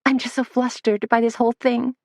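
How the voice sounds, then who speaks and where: A woman's voice: level tone, brisk, no echo, studio quality, close to an everyday voice. A woman reading the lines of a flustered young female assistant, her voice raised and words tumbling out. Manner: breathless, words tumbling out, higher pitched.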